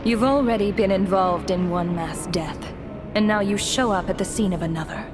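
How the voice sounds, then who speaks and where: A woman voicing a stern, haughty authority figure in a video game, formal, measured and condescending. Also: medium pitch, close to an everyday voice. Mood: cold, suspicious. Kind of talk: video game cutscene acting. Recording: loud music behind the voice.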